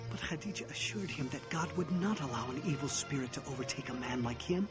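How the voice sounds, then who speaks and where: A man playing a reverent believer, narrating an animated film in a scripted storytelling style. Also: a little high, lightly acted. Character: reverent believer recounting early days of faith. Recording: music behind the voice.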